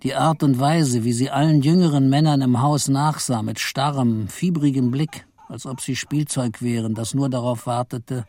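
An actor playing an embittered, henpecked office clerk in a radio play, brooding and theatrical as narrator and husband. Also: male voice, medium pitch, steady tone, normal speed, few pauses, a little mellow, dry recording, close to an everyday voice. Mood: bitter, brooding. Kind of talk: radio play, dramatic first-person narration and dialogue.

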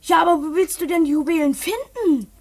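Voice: very high voice, a little fast. An actor playing a practical, doubting child friend in a German children's radio play, questioning and plain-spoken. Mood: doubtful.

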